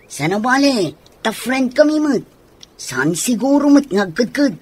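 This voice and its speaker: A woman's voice: on the high side, very animated, measured pace, darkish tone, a little hiss or hum. A woman playing a helpful, agreeable friend in a radio drama, speaking conversationally.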